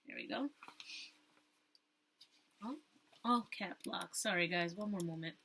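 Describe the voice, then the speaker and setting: A woman teacher reading a storybook aloud, rereading stumbled phrases and pausing to explain things to her listeners. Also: lowish voice, vocal fry, bright, forceful tone, slight background noise.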